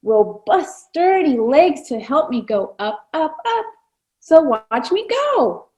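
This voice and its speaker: A woman's voice: high voice, sing-song, takes it slowly, dark tone, voice pushed up, exaggerated voice. A woman voicing a cheerful, confident parrot in a children's storybook, with an emphatic delivery. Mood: proud, upbeat. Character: cheerful, confident flightless kakapo parrot, self-accepting.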